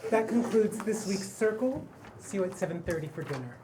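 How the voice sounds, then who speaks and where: Woman playing an upbeat, lively male group leader. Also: mid-range voice, brisk, close to an everyday voice. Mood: ceremonious. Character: Colin, upbeat male group leader running a house ritual. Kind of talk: table read of a pilot script. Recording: clearly noisy live room recording.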